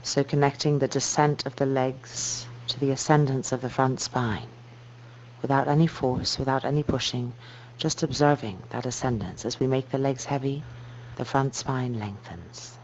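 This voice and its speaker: Muffled, soft-spoken woman guiding meditation. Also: very deep voice. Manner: slow, soft, calming delivery with measured phrases. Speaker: yoga teacher. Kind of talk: guided meditation instruction. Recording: dull muffled recording with noise and hum.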